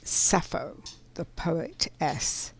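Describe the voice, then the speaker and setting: Woman host, scratchy and very gravelly, slow and reflective, for a casual card reading. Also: deep voice, big pitch swings. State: scratchy voice from pollen. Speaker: spiritual card reader.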